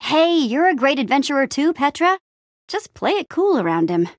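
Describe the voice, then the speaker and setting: A woman's voice: sits high, sing-song, normal speed, no echo, clean studio sound. A woman playing an upbeat, plucky young female adventurer in a video game, with an eager, wry and casual way of talking. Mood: friendly. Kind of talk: animated adventure game dialogue.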